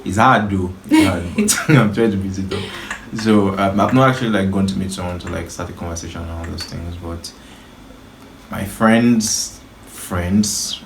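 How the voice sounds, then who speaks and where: Young man, deep voice, hesitant, thoughtful, casual chat, roomy echo, faint hiss. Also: moderate pace. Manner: hesitant, thoughtful, self-described shy. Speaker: guest, the host's brother.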